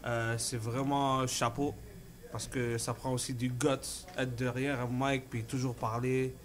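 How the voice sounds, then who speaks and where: A man, a music producer guest on a radio show, earnest and appreciative, talking haltingly with fillers. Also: mid-range voice, level tone. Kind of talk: casual chat.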